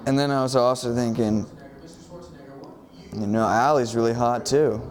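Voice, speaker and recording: A man's voice: medium pitch, fairly even intonation, measured pace, a little mellow. A man telling a casual, rambling story, full of "like" and "you know" fillers, joking and mock-serious. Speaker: student show presenter.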